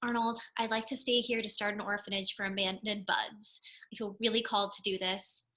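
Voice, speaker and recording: A woman voicing a sweet, excitable, tender-hearted young woman at a comedy table read. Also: medium pitch, brisk, lightly acted. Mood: tender, determined.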